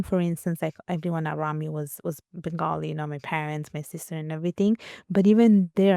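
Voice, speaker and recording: A woman's voice: deep voice, mellow, no room sound, clean studio sound. A young adult woman from Italy, a guest author speaking reflectively in an interview, with many fillers and restarts. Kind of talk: conversational interview.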